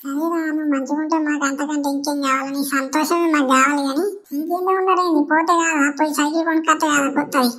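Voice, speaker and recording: A woman's voice: sits high, steady tone, fast, fairly bright tone. A woman voicing a scolding but doting village mother in a comedy cartoon, chiding with a Telangana accent. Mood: fond, cautioning. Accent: Telangana Telugu. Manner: nagging, chiding. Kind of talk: Telugu comedy cartoon dialogue.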